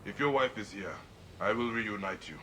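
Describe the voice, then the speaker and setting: An actor playing a brooding, solemn warrior of ancient Egypt in a video game, speaking gravely and tersely. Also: male voice, lowish voice, lively intonation, moderate pace, bright, forceful tone, a little hiss or hum. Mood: solemn, reassuring. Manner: grave, terse, pondering aloud. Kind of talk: dramatic video game acting. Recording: dramatic music behind the voice.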